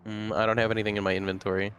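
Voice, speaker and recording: A man's voice: on the low side, flat delivery, very fast, a bit bright, a little hiss or hum. A man chatting casually as a player in a tabletop roleplaying game. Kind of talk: casual chat. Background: music.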